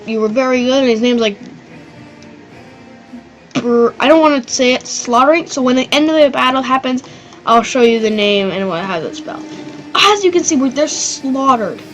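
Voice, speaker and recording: A woman hosting her own channel, giving loose, excitable commentary on a strategy game battle, rambling and swearing now and then. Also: high voice, moderate pace, faint music behind, rough recording. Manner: rambling and excitable, swears, does silly voices. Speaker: gaming channel host.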